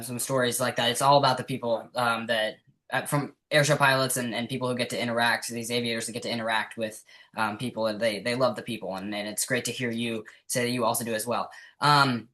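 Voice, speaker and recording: A man with a bright, forward voice at medium pitch hosts a casual interview. He is affirming, and his phrasing is hesitant, circling back over words. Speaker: podcast host, interviewer.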